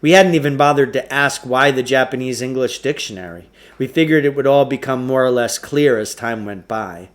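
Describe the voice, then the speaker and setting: A man's voice: medium pitch, normal speed, hardly any pauses. A man reading a children's novel aloud as a storybook narrator.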